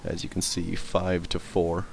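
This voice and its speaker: A man's voice: low voice, monotone, unhurried, creaky, slight background noise. A man doing casual let's play commentary, rambling, swearing casually and stumbling over hard words as he reads. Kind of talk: casual gameplay commentary and reading in-game text aloud.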